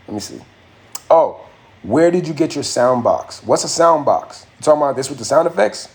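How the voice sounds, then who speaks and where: Young male streamer, easygoing and slangy, slightly high voice, chatting with his audience. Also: moderate pace, creaky. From Haitian family. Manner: relaxed, slangy, reads out viewer comments and answers them; raps his verse with a raised, rhythmic delivery. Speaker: livestream host and rapper. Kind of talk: casual live stream chat with viewers.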